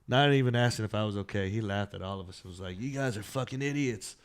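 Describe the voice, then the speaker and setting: A man's voice: lowish voice, fast, smooth. A man co-hosting a podcast, chatting casually and sharing personal anecdotes in slangy banter.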